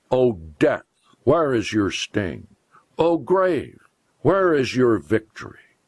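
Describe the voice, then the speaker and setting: A man reading scripture aloud as a preacher, in a solemn, deliberate and emphatic declamatory way. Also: lowish voice, no room sound.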